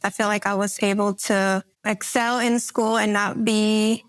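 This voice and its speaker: A woman's voice: mid-range voice, little pitch movement, a little slow, no echo. A woman in her forties from Peru, a podcast guest telling personal stories in an earnest, reflective way with frequent fillers. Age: 40s. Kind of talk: personal storytelling in an interview.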